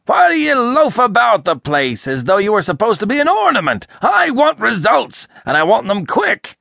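A man's voice: mid-range voice, animated, fast talker, a bit bright, no room sound, studio quality. A man reading a storybook, voicing an irascible, sarcastic, blustering older rich American man who hectors explosively. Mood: furious outburst. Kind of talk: audiobook character acting.

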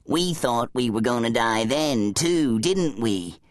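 A woman's voice: extremely low pitch, expressive, takes it slowly, dry recording, clean studio sound, pitched below the performer's own voice, heavily acted. A woman voicing a small, whiny, childish talking creature, an annoying sidekick in an acted audiobook story. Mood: hopeful, arguing. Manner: whining, needling.

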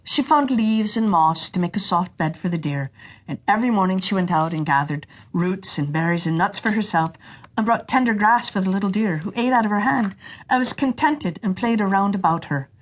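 Female children's audiobook narrator: slightly husky, mid-pitched, expressive and deliberate with scripted fairy tales.

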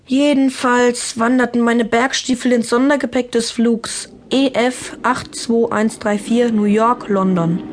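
A woman's voice: a little high, fairly even intonation, measured pace, hardly any pauses, a little hiss or hum, close to an everyday voice. A woman playing a put-upon younger brother in a children's audio drama, grumbling in a mock-grand, wry way. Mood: wry, aggrieved. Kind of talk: German children's audio drama acting and narration.

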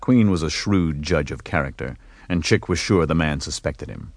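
A man's voice: very deep voice, normal speed. A man reading scripted, dramatic narration for a western audiobook. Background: music and horse hoofbeats.

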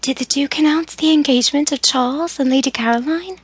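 A woman voicing Emily, an anxious, outspoken young woman, in a soft, breathless, higher voice while reading a story. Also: fairly quick, no echo, close to an everyday voice.

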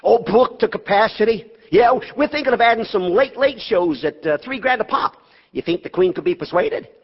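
A man's voice: high-pitched, animated, normal speed, long reverb tail, muffled recording. An actor playing a brash, bossy casino manager with a slick salesman's manner in a stage drama. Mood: slick, wheedling.

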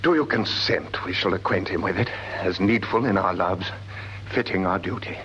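Casting: grave sentinel, very low British voice, poetic and measured, muffled vintage radio with hum. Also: male voice, fairly bright tone. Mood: grave, resolved. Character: grave, thoughtful male night-watch companion of a prince. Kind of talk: classical Shakespearean radio acting.

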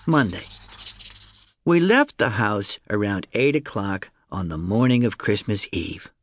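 A man reads a first-person children's audiobook in a conversational, storytelling way. His mid-pitched voice moves around in animated swings, recorded close and dry with no room sound. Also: dry recording. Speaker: audiobook narrator.

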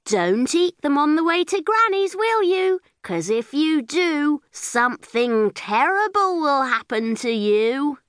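Woman playing a high-voiced, plucky little girl. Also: sing-song, slow, few pauses, fairly bright tone, no room sound, clean studio sound, full character voice. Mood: crafty, polite. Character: plucky, polite but firm little girl.

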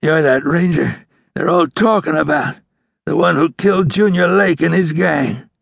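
A man's voice: fairly high pitch, vocal fry, husky, no echo, studio quality, voice pushed up, heavily acted. A man voicing a bitter, defiant, wounded Old West outlaw in a western audiobook, his speech strained, slurred and sneering. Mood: grudging, strained. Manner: drawn-out, sneering, contemptuous. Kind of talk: western audiobook character acting.

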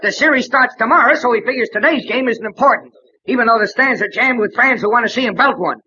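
An actor playing a sarcastic, blustering American baseball manager who scolds in an old-time radio drama. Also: male voice, extremely high pitch, quick pace, pushed and bright, exaggerated voice. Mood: irritated. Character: fuming, sarcastic little male baseball team manager. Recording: dull, lo-fi old radio recording.

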